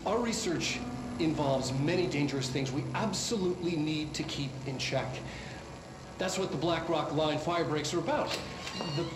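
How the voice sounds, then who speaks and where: Man playing an echoey, halting, nerdy scientist. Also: a little high, lightly acted. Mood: earnest, awkward. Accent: American. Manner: halting, professorial. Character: awkward, nerdy male scientist presenting research films. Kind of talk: retro educational film presentation. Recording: echoey old film audio, clear noise.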